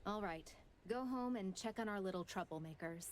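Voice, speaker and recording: A woman's voice: mid-range voice, normal speed, a little hiss or hum, close to an everyday voice. A woman playing a cocky, teasing female boss in a video game, sardonic and breezy.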